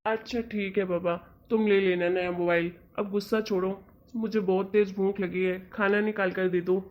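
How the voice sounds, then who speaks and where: A woman's voice: mid-range voice, little pitch movement, moderate pace, natural voice. A woman playing an exasperated, reasoning husband in an amateur cartoon story. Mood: weary, resigned. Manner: reasoning, chiding, colloquial. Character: exasperated young husband, patient and teasingly lecturing. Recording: dull, lo-fi audio.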